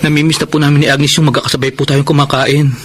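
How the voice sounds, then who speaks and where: An actor playing an earnest, caring young son in a Filipino radio drama. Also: male voice, fairly high pitch, little pitch movement, quick pace, natural voice. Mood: gently concerned. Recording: noisy radio drama with background ambience.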